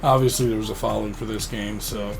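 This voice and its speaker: A man's voice: lowish voice, steady tone, fast, gravelly, faint music behind. A man co-hosting a podcast, talking in an informal, slangy way as casual banter. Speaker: podcast co-host. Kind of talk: casual banter about gaming news.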